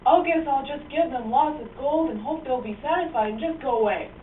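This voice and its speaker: A woman's voice: high voice, fairly quick, close to an everyday voice. A woman voicing a pompous, ill-tempered male Aztec king with imperious declaiming in an amateur comic puppet show. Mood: annoyed. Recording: tinny distant lo-fi mic, noisy room.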